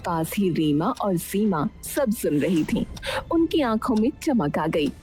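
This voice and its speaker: A woman's voice: medium pitch, very animated, measured pace, darkish tone. A woman narrating a cartoon moral story from a script. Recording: music behind the voice.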